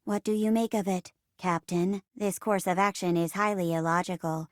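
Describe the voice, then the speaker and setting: A woman voicing an earnest, logical robot in a theatrical space drama game, speaking in a stilted, deliberate way. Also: on the low side, fairly even intonation, no echo, clean studio sound, lightly acted. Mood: measured, logical. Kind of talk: theatrical sci-fi game voice acting.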